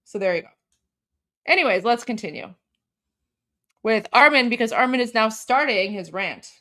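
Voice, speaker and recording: A woman's voice: on the high side, lively intonation, moderate pace, sharp tone. A woman from Iran co-hosting a live stream, giving heated, opinionated commentary and talking straight to her viewers. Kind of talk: casual livestream commentary.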